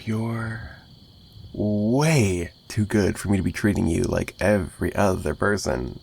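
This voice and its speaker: A man's voice: low-pitched, slow pace, vocal fry, darkish tone, slightly rough, heavily acted. A man playing a possessive, menacing mafia boss in an ASMR roleplay, taunting the listener with theatrical mock sweetness and threats. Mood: mock-apologetic, obsessive. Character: possessive, menacing young male mafia boss, obsessive kidnapper. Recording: noticeable background noise.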